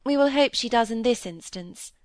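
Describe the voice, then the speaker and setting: A woman's voice: a little high, expressive, moderate pace, darkish tone, no echo, studio quality, pitched above the performer's own voice, lightly acted. A woman voicing a composed young woman who speaks curtly, in an audiobook reading. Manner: curt, clipped.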